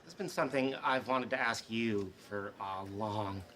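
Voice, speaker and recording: A man's voice: fairly low pitch, unhurried, bright, forceful tone. An actor playing a nervous, shy young man who stammers and hesitates while trying to speak to a woman, in a sitcom clip. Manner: hesitant, stammering, filler-heavy.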